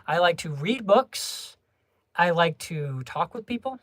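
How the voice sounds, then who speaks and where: A young adult livestreamer chatting casually with viewers, rambling through many restarts and small laughs in a low-key, homebody way. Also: expressive, measured pace, a bit bright, no echo, studio quality.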